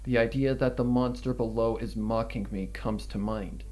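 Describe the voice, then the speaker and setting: A man narrating a dark first-person fan fiction story in a brooding, deliberate, dramatic way. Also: mid-range voice, fairly even intonation. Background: guitar music.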